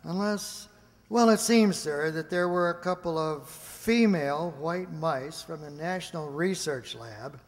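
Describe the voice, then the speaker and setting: A nervous, deferential aide in a radio drama acted by a man, slightly high in pitch. He speaks slowly, hesitant and apologetic before his superior. The sound carries a hall's long reverb. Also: natural voice. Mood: anxious. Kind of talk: amateur radio play acting. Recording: reverberant hall.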